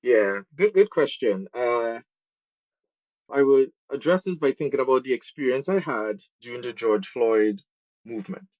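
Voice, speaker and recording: A man with a Trinidadian accent talks conversationally as a guest in an interview. His voice sits at a medium pitch. The recording is close and dry, though a little dull on top. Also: expressive, normal speed, no echo. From Trinidad and Tobago. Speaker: podcast guest, program manager and musician.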